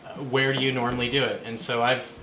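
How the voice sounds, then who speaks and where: A man in his forties from Canada, telling stories in a relaxed conversational way with plenty of fillers, as an interview guest in an apartment. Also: mid-range voice, fast talker, roomy sound, noisy background. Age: 40s. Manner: relaxed storytelling with frequent fillers. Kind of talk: casual conversation. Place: apartment room.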